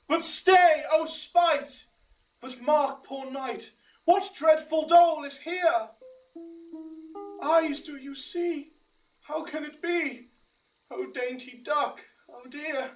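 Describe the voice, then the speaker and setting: A woman's voice: sits high, distant mic, low-quality recording. A woman playing a hammy amateur actor in the part of a tragic lover knight, declaiming mock-tragic Shakespearean verse. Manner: overwrought, declamatory.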